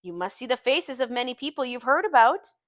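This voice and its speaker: A woman's voice: high voice, very animated, fairly quick, a bit bright, no room sound, clean studio sound. Woman reading as Gatsby, showy formal host. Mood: eager, courteous. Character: Gatsby, polite, showy male party host.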